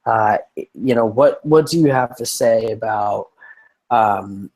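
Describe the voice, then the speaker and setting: A man's voice: on the low side, slow, a little mellow. Scratchy-voiced man, rambling casual talk. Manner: meandering, many restarts and fillers. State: getting over a lung infection, scratchy and tired. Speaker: podcast co-host.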